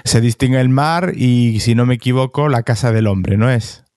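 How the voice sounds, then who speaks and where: A man's voice: mid-range voice, normal speed, a little mellow, no room sound, clean studio sound. A man from Galicia, hosting a podcast interview in a friendly, teasing way, laughing often. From Galicia, Spain. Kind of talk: casual conversational interview.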